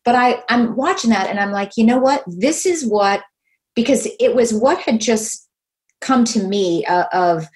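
A woman's voice: on the high side, a little slow. A woman in her fifties hosting a podcast, talking with enthusiasm and acting out quotes in casual chat. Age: 50s. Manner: enthusiastic, animated, quotes herself and others. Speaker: podcast host.

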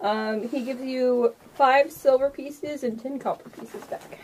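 High-voiced woman running a tabletop game. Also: steady tone, slow pace, darkish tone, a little hiss or hum, poor audio. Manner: giggly, casual. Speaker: game master. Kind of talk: narrating a tabletop game among friends.

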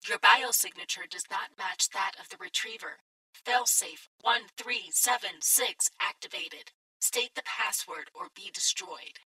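Cold threatening guard droid, super sharp n raspy, bit distant, formal and clipped. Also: female voice, medium pitch, measured pace. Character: hostile security droid guarding a case, coldly threatening. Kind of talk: sci-fi audio drama acting.

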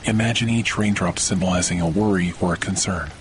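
A man hosting a guided sleep meditation, speaking in a slow, soothing, hushed way. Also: sits low, a little hiss or hum. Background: faint rain sounds.

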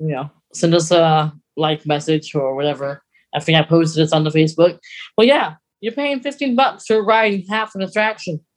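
A podcast co-host speaks in a mid-pitched voice. The delivery is casual and conversational, with a wry edge, like news shared in an informal chat. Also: normal speed.

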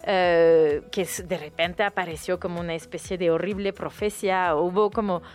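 French-accented woman guest, halting and thoughtful, low-ish voice, soft music underneath. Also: fairly even intonation. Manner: hesitant, frequent restarts and fillers. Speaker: guest book recommender. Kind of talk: casual radio interview.

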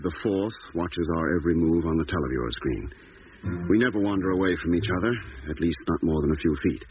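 Male actor playing earnest space-age doctor, low male voice, articulate 1950s radio drama delivery, muffled hissy old recording. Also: natural voice. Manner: measured, grave diary narration and earnest dialogue. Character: earnest male ship's doctor and diarist on a moon expedition.

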